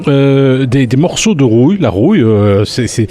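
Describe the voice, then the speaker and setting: A man's voice: mid-range voice, animated, normal speed, warm tone. A man hosting a local radio interview, repeating his introduction and stumbling over restarts in a chatty way. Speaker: radio host.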